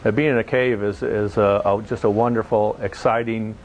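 Casting: man, lowish voice, volunteer leader giving a conversational, slightly halting interview, light background noise. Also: fairly even intonation, a little mellow. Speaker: volunteer caving project leader, interview guest.

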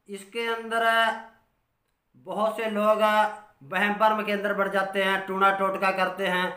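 A man, a dairy farmer giving informal advice from notes in halting, explanatory phrases. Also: high voice, bright, forceful tone. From Haryana, India. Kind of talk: informal instructional talk from notes. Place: small curtained room at home. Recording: roomy indoor echo.

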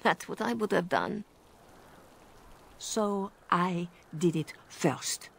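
Game villain: a seductive, mocking spymaster bard, fairly low female register. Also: slow pace, creaky, breathy edge, exaggerated voice. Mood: cold, accusing. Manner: mocking, silky. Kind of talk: dramatic game dialogue. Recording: faint background noise.

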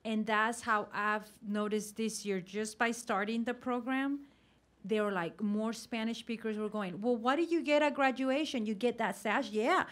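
A woman high school teacher in a workshop discussion, casually telling how she draws students in. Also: normal speed, fairly bright tone. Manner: casual, acts out student exchanges.

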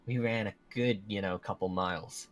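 A man's voice: lowish voice, fairly even intonation, moderate pace, lightly acted. A man playing a worried, protective young werewolf in an improvised Minecraft roleplay, talking in a hesitant, explaining way. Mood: teasing complaint. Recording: music under the voice.

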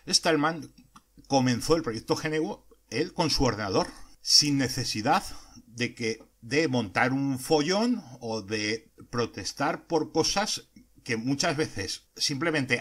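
A man with a Spain Spanish accent hosting a podcast, explaining ideas earnestly with frequent pauses and hesitations. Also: medium pitch, a bit bright, slightly rough. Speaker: podcast host.

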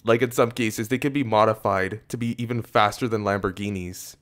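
A young man streaming from a desk mic, chatting casually and excitably with lots of fillers. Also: lowish voice, fairly quick. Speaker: streamer and audio editor. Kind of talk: casual reaction stream chat. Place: indoor room at desk in gaming chair. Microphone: desk microphone on arm.

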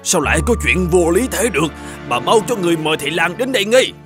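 A man voicing a stern, hot-tempered father in an animated folktale. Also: high-pitched, fast. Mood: startled, brusque. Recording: music bed under the voice.

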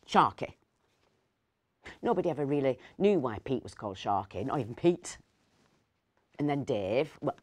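A woman's voice: a bass voice, warm tone, exaggerated voice. A middle-aged woman, playing a fussy, kindly, rambling Christmas dinner host in a storytelling monologue. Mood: chatty, fond. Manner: hesitant, digressive, self-correcting. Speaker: actor reading a story. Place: indoors, seated in an armchair.

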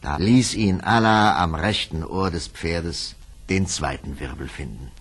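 A man's voice: deep voice, a little slow, husky. A man narrating a fairy-tale radio play in a scripted, dramatic storytelling manner.

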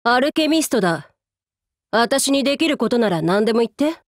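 A woman playing a cruel, sadistic female android commander in an anime game, arrogant and mocking, with rough, taunting, menacing delivery. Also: on the high side, big pitch swings, fairly quick, no room sound, studio quality. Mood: cool confidence. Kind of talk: anime game character voice lines.

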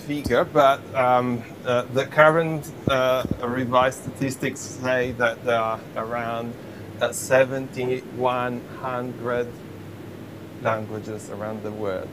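A man's voice: steady tone, slow. A man, an Italian lecturer, giving an interactive welcome talk, questioning the audience and hesitating often. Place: university lecture room. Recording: noisy lecture room with rumble.

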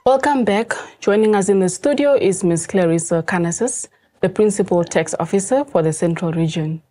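Mellow-voiced woman presenting formally. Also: medium pitch, normal speed, faint music behind. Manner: formal, polished introductions. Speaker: show host. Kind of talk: scripted presenting. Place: TV studio, seated.

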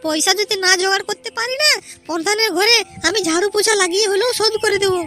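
A woman's voice: extremely high pitch, fast talker, sharp tone, soft background music. A woman voicing a doting young village wife in a cartoon, sweet and affectionate.

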